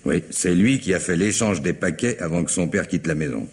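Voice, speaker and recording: An actor in a French radio mystery play voicing a brisk, familiar senior police detective with a bossy, wryly self-mocking manner. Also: male voice, sits low, animated, slightly rough. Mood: confiding, wry. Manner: conversational, bossy, wry.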